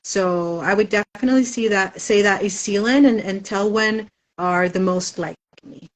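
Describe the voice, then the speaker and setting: A woman's voice: mid-range voice, normal speed, poor audio. A woman author whose first language is Spanish, chatting thoughtfully in a casual interview with frequent "um" fillers.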